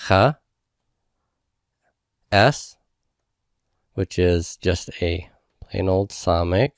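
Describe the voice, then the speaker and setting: A man's voice: deep voice, extremely slow, no echo, studio quality. A man teaching a homework review lesson, explaining step by step in a patient manner.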